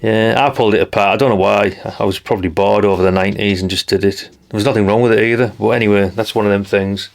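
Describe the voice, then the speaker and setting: Casting: middle-aged man, North East English accent, lowish voice, down-to-earth hobbyist presenter, chatty and nostalgic. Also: steady tone, fast, vocal fry, hardly any pauses. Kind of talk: casual chatty show-and-tell commentary.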